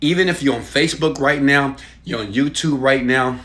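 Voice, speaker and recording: A man in his thirties, filmed on a phone held at arm's length in a small room at home, giving a hyped and preachy sales pitch with loud bursts and quieter asides. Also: moderate pace. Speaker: online marketing coach. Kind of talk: selfie video sales pitch.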